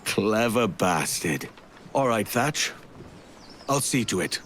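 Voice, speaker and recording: A man's voice: fairly low pitch, lively intonation, takes it slowly, a bit bright, a little hiss or hum. An actor playing a brash, wry pirate captain in a game cutscene, blunt and colloquial in his seafaring speech. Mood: wry, fond. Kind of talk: dramatic game cutscene acting.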